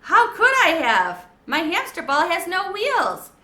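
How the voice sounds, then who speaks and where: A woman voicing a tiny, excitable male pet hamster who squeaks eagerly. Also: unhurried, heavily acted. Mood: indignant. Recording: echoey room.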